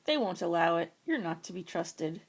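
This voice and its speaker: Woman as a matter-of-fact, creaky polar bear. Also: lowish voice, lively intonation, moderate pace. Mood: breezy. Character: worldly, matter-of-fact male polar bear who works at zoo.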